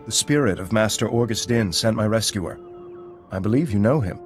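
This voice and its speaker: An actor playing a wounded Jedi agent who talks in an earnest, urgent way. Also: male voice, fairly low pitch, normal speed, lightly acted. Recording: game audio with faint music.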